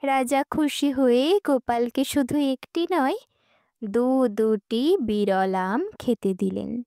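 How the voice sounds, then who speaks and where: A woman narrating a scripted children's folk tale as an audiobook storyteller, with deliberate, expressive emphasis. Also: on the high side, no room sound, clean studio sound.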